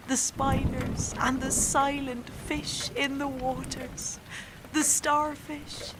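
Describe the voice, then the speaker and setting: Fragile young actress, very high voice, slow halting delivery, fervent and erratic, audio drama, noisy background. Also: female voice. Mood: wistful, solemn. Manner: halting, repetitive, self-correcting, intense. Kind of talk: audio drama monologue acting.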